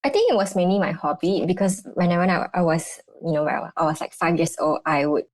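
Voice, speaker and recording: A young woman, a dietitian answering interview questions, bubbly and halting, with many restarts. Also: fairly low pitch, expressive, dry recording. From Malaysia. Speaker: guest, clinical dietitian.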